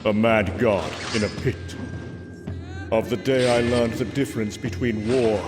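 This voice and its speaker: Man acting a proud warrior god, grave, theatrical, measured storytelling, comic book drama, music underneath. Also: fairly low pitch, close to an everyday voice. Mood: solemn, reflective. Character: proud warrior god, brooding, bold, battle-worn. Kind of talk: dramatic first-person comic book narration.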